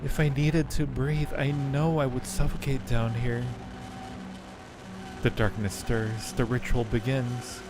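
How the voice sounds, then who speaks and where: A man's voice: mid-range voice, little pitch movement, takes it slowly, background noise, lightly acted. A man voicing a lovestruck undead acolyte from a horror game, narrating with earnest, brooding resignation. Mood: hushed awe. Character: devoted undead cave acolyte, fatalistic and lovestruck. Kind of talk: dramatic reading of game narration. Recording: music behind the voice.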